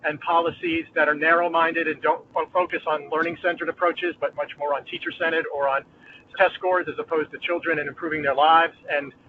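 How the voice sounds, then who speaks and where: A man's voice: a little high, flat delivery, brisk. East Coast US man on a phone line. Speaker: dean of education. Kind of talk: conference call remarks. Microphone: phone or conference line. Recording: tinny phone line with faint noise.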